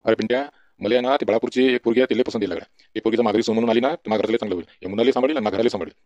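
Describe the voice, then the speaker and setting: Cartoon casting: fretful village farmer dad played by a man, rapid nagging Varhadi Marathi, slightly dull lo-fi sound. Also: fairly low pitch, a little mellow, no echo, lightly acted. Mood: insistent. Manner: nagging, folksy. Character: fretful village farmer father, bossy, exasperated by his son. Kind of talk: comedy cartoon dialogue.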